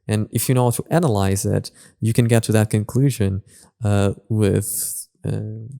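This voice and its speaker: A man giving casual reaction and analysis commentary as a video host, halting as he searches for his words. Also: on the low side, fairly even intonation, vocal fry, mellow.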